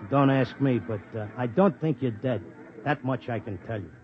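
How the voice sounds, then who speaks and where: A man's voice: medium pitch, normal speed, slight background noise, close to an everyday voice. A man playing a streetwise, cynical racetrack clocker who narrates with wisecracking slang in a 1950s radio drama. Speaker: narrator and lead character. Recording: dull 1950s radio recording with music.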